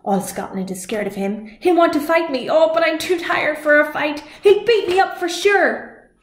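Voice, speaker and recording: A woman's voice: sits high, moderate pace, pitched above the performer's own voice, natural voice. A woman voicing a big, sleepy, worried male giant in a children's storybook, fretting and complaining. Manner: fretful, complaining. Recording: roomy echo.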